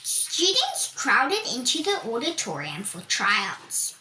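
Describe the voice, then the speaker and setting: A woman reading a children's storybook aloud in a deliberate, expressive storytelling manner, with emphatic swoops. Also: high-pitched. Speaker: volunteer story reader. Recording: echoey room with background hiss.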